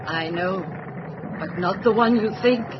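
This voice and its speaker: Actor as a calm, superior alien visitor, muffled recording. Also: close to an everyday voice. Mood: calm, ominous. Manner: measured, formal, lecturing. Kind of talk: old-time radio drama acting. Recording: muffled radio recording with loud engine rumble.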